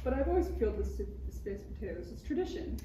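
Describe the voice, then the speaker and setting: A woman's voice: on the high side, sing-song, quick pace. A woman playing a chatty, nonchalant young senator talking as an exasperated daughter in a parody read-through. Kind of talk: live table read of a parody script. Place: room at a table read. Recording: roomy distant recording with clear noise.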